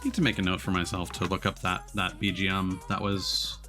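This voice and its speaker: A man with a fairly low voice commentates casually on a racing game as he streams it. He thinks aloud in a halting, conversational way. Game music plays clearly behind him. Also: flat delivery, sharp tone. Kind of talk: casual live gameplay commentary. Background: game music and vehicle sounds.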